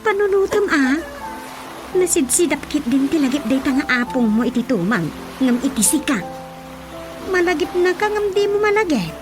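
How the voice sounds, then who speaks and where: A woman playing an older relative in a radio drama, admonishing a young man in a drawn-out way. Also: sits high, sing-song. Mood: chiding. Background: music.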